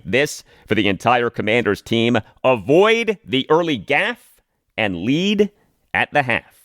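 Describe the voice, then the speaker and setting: A man hosting a sports podcast, giving scripted commentary and predictions with emphatic, deliberate phrasing. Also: medium pitch, fairly bright tone, no room sound, studio quality.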